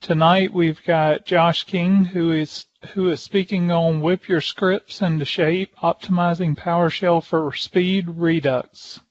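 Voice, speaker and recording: A man's voice: a little high, fairly even intonation, takes it slowly, hardly any pauses, lo-fi sound. A man hosting a user group meeting, giving a welcoming introduction for a guest speaker.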